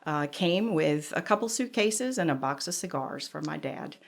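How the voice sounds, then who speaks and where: A woman around seventy, a furniture designer, recalling her life in a reflective, measured conversational way. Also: sits low, lively intonation, gravelly. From Cuba, raised in Georgia. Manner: reflective storyteller, measured with small pauses. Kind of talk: conversational interview.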